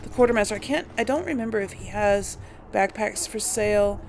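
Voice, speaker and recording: A woman's voice: mid-range voice, brisk, creaky, darkish tone. A woman giving casual game commentary, thinking aloud in a hesitant way. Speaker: video game player narrating a role play. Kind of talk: casual let's play commentary.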